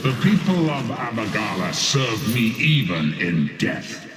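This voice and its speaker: An actor playing a wrathful, gloating god of schemes, menacing and grandiose, as a video game villain. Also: male voice, fairly low pitch, very animated, unhurried. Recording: echoing distant voice over game music.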